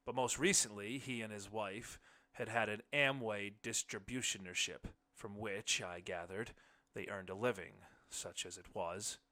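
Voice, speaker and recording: A man narrating a literary short story in the first person, with measured phrasing. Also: lowish voice, sharp tone. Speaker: audiobook narrator.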